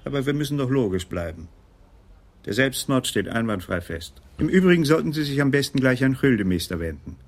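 A male actor playing a coolly callous police officer in a radio crime play, smooth, official and self-justifying. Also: mid-range voice, animated, brisk. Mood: defensive. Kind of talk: German radio crime drama acting.

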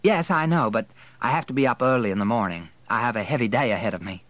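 A man voicing a haughty young Southern lady, prim, brisk and condescending, in an audiobook novel. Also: medium pitch, fairly bright tone, no echo, close to an everyday voice. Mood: firm, indignant.

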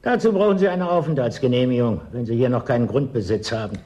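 Male actor as settlement official, radio play, middle pitch, pedantic, officious, evasive, stubborn. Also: quick pace, a little mellow, muffled recording, lightly acted. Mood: officious, matter-of-fact. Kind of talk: German radio play acting.

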